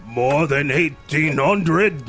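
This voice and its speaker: Jovial giant spirit for audio drama: hearty, declamatory, slow-paced, ringing voice. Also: male voice, a little high, fairly bright tone, soft background music. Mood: jovial, commanding.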